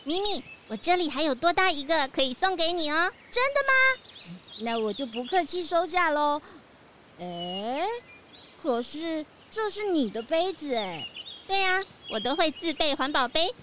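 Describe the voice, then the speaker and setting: A woman's voice: sits high, expressive, normal speed, heavy background noise. A woman voicing a cute, cheerful, naive small animal hiker in a children's mystery audio drama. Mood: friendly.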